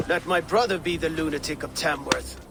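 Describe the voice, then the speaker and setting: An actor playing a firm, pragmatic Viking war leader in a video game. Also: male voice, a little high, fairly quick, very noisy, close to an everyday voice. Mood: solemn. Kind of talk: video game cutscene acting.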